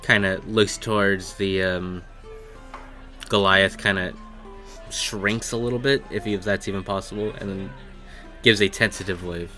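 A man runs a tabletop game, describing scenes off the cuff. His voice is low and bright, and his phrasing halts as he pieces the picture together. Faint music plays underneath. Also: fairly even intonation. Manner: halting, descriptive. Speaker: game master. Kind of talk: improvised tabletop game narration.

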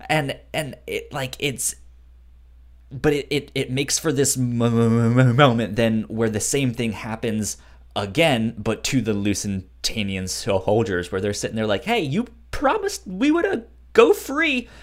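Guy podcast co-host chatting casually, stutters and repeats syllables a lot, medium pitch. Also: big pitch swings, measured pace. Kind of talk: casual book discussion.

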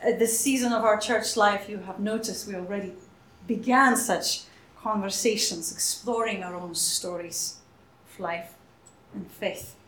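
Slow, soft-spoken woman minister. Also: medium pitch, slightly rough, poor audio. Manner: soft, unhurried, hesitant with fillers. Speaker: minister leading a church service. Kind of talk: leading worship and interviewing a church member.

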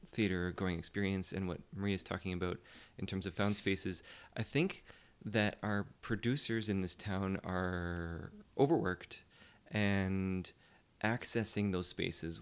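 A man with a smooth, clear, fairly low voice speaks as a playwright on a panel. His delivery is measured and conciliatory, broken by pauses between short phrases. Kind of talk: casual panel discussion.

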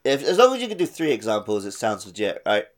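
A man's voice: lowish voice, huge pitch swings, quick pace. A man co-hosting a casual gaming podcast, talking wryly and conversationally. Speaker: podcast co-host.

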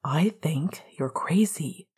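A woman's voice: deep voice, animated, takes it slowly, a little mellow, slightly rough, voice pushed down, heavily acted. A sardonic young man with a grumpy streak, played by a woman narrator. He speaks in dry, exasperated retorts, as a reluctant punk romantic in a storybook would.

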